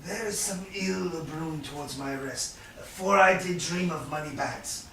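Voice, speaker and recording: A man playing a suspicious, grumbling old moneylender father in a staged Shakespeare reading, with a halting delivery. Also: on the high side, a bit bright. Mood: uneasy, brooding. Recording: echoey room from far off, background noise.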